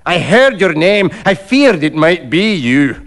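A man's voice: a little high, sing-song, measured pace, creaky, full character voice. A man voicing a bitter, shabby old drunkard in a storybook reading, scornful and defiant, with blunt, declamatory speech. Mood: defiant contempt. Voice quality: loud, forceful cries.

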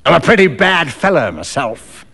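A man's voice: on the high side, sing-song, moderate pace, gravelly, bright, forceful tone, husky, full character voice. A man voicing a coarse, domineering little rogue in a story, rude, goading and commanding. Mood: boastful.

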